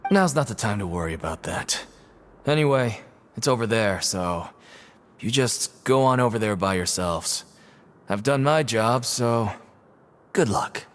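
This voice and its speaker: A man's voice: lowish voice, expressive, unhurried, slight background noise. A man playing a world-weary, mercenary prison inmate who works as a guide in a video game, talking in an offhand, folksy way. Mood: wary. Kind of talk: English video game voice acting. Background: faint game music.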